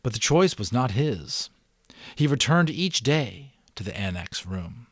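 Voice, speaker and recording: A middle-aged man giving a dramatic reading of a novel, with deliberate emphasis and casual asides to his listeners. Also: medium pitch, very animated, breathy edge, no room sound, clean studio sound. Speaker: audiobook reader and channel host.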